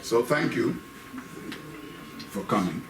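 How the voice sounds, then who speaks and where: A middle-aged American man giving an animated public talk, emphatic, repeating phrases for effect with joking asides. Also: medium pitch, takes it slowly, music in the background. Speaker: guest speaker giving a talk on faith and identity. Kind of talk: animated public lecture to a live audience. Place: indoor hall with live audience. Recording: echoey room, distant mic, faint background noise.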